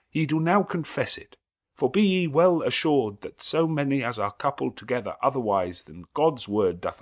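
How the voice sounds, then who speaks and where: A man voicing a solemn, earnest clergyman in an audiobook, declaiming in a formal, measured and ceremonious manner. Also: lowish voice, close to an everyday voice. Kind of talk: audiobook character acting.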